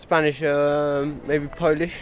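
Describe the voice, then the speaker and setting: A man's voice: on the high side, level tone, slow. Offhand guy chatting outside, bit of background noise. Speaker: passer-by.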